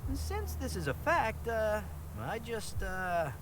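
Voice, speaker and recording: A man's voice: high voice, sing-song, takes it slowly, full character voice. An actor voicing a chatty, eccentric man in a video game, theatrical and effusive, over background noise. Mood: emphatic. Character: chatty, eccentric male lodger outside a closed inn.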